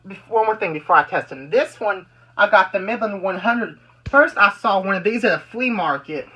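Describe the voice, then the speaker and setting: Bright-voiced rambler. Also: mid-range voice, low-quality recording. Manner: rambling, repeats and restarts phrases. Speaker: amateur video maker demonstrating weather radios. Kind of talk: casual hobby show-and-tell.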